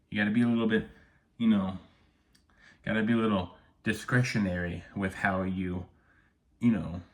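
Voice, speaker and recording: Low-voiced man rambling, "okay?" after everything. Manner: rambling, keeps adding "okay?" to check in. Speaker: firearms YouTuber. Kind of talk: casual vlog talk to camera. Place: messy home office room. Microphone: no external mic, camera audio.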